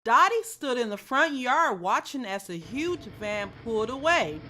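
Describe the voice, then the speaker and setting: A woman narrating a children's story in an expressive scripted read-aloud style. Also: a little high, unhurried.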